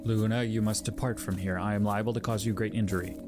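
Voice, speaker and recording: A man's voice: fairly low pitch, fairly even intonation, quick pace, lightly acted. A man playing a powerful, anguished spirit of fear who holds himself back, speaking formally in an audio drama. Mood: grave, troubled. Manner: formal, archaic, solemn wording. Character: Fear, powerful anguished male emotion spirit, self-restraining, dreaded. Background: music under the voice.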